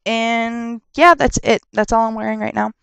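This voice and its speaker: A woman's voice: fairly high pitch, fairly even intonation, vocal fry, clear, resonant tone, no room sound. Chatty young woman with a stuffy nose. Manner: chatty, rambling, quick to laugh and rant. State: stuffed up with a sore throat. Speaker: vlogger answering viewer questions. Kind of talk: casual rambling webcam vlog.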